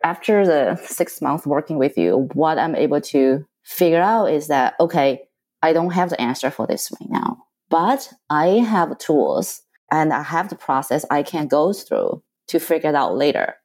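A woman with a low, mellow voice whose first language is Chinese. She talks earnestly about her own experience, halting and repeating words as she finds her phrasing. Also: big pitch swings. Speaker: coaching client. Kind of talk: reflective casual conversation.

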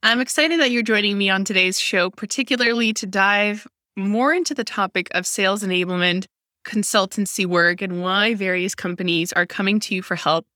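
A woman's voice: medium pitch, normal speed, bright, forceful tone, dry recording, studio quality. A woman hosting a podcast, reading a polished intro and asking her guest questions. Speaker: podcast host. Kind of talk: scripted intro and interview questions.

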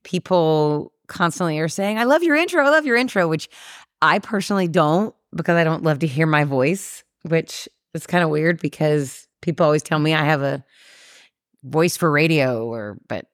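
A woman's voice: low-pitched, lively intonation, normal speed, dry recording, clean studio sound. A woman chatting playfully as a podcast guest, asking the questions with easy fillers. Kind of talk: casual conversational podcast.

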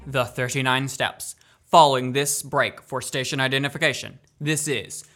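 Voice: mid-range voice, steady tone, moderate pace, a bit bright, close to an everyday voice. An actor plays an old-time radio playhouse host, genial and formal. The delivery is theatrical announcing in a mid-range voice, with faint music behind it.

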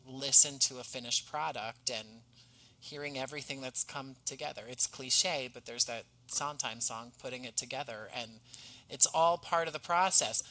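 Bright-voiced man chatting as a guest. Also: mid-range voice, low-quality recording. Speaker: podcast guest, audio drama creator. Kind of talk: casual chat.

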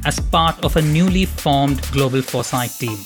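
Male podcast host, theatrical and playful, average pitch, faint music bed. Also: normal speed. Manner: theatrical, playful, self-mocking. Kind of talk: scripted podcast introduction and interviewing.

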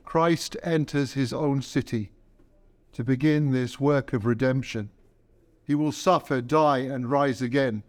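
A man speaking as a priest leading a service, preaching and praying in a measured, solemn way. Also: mid-range voice. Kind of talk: sermon and liturgy.

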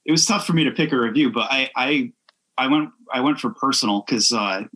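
A man chatting casually as a podcast guest, telling things informally in a halting way with frequent self-interruption. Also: mid-range voice, background noise. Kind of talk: casual chat, informal storytelling.

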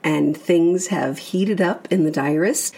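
A woman with a husky, creaky voice reading a scripted podcast introduction as host. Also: on the low side, takes it slowly, darkish tone.

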